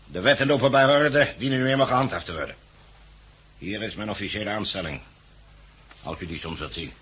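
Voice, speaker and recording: A man's voice: on the low side, fairly quick, a bit bright, breathy edge. A man acting in a 1960s Dutch radio drama as a cold, officious committee envoy, formal and pompous, with a Netherlands accent. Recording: muffled-edged vintage 1969 radio recording.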